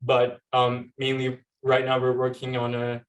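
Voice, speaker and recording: A man's voice: medium pitch, completely flat delivery, measured pace. A young man talks informally and a little hesitantly on a panel, telling personal stories with plenty of "like". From Turkey. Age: young adult. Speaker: panel speaker, law student and activist. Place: panel event at a university. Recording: distant microphone at live event.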